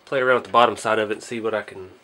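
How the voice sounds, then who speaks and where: A man's voice: on the low side, fairly even intonation, very fast, a bit bright. A man hosting a casual hobby build vlog, speaking in a modest, hesitant way as he thinks aloud. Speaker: hobby channel host.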